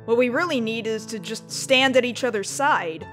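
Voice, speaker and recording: A woman's voice: on the high side, moderate pace, music in the background, close to an everyday voice. A woman playing a frank, steadfast member of an embattled group in a web film, speaking plainly. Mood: gentle, wry. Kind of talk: scripted web film dialogue.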